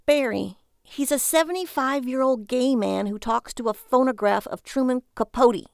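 A woman's voice: high-pitched, normal speed, gravelly, smooth, dry recording, studio quality, voice pushed up. A woman voicing an irritated, sarcastic, weary wife in a story, with an exasperated, scolding delivery. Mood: exasperated, nagging.

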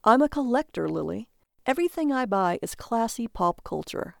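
A woman voicing a childish, excitable, defensive husband in a story, protesting earnestly. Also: fairly high pitch, normal speed, vocal fry, dry recording, studio quality, pitched above the performer's own voice.

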